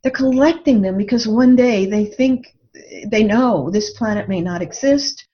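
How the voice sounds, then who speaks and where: A woman's voice: fairly high pitch, unhurried, mellow. A woman speaking as an interview guest in an earnest, reflective way, telling personal stories. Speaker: podcast guest, writer and coach. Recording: dull lo-fi audio.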